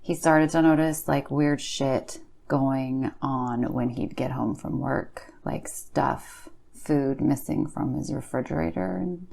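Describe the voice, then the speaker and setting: A woman's voice: rumbling low, slow pace, creaky, hardly any pauses, warm tone, full character voice. A woman playing a blunt, casual creepy-story teller, offhand and slangy in her banter. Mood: offhand, spooky. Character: Bee, blunt, slacker-casual woman trading creepy stories. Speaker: podcast co-host.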